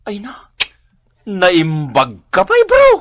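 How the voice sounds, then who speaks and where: An actor playing an excitable male buddy in a radio drama, with an Ilocano accent. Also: high-pitched, slow pace, no room sound, clean studio sound, heavily acted. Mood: teasing, protesting.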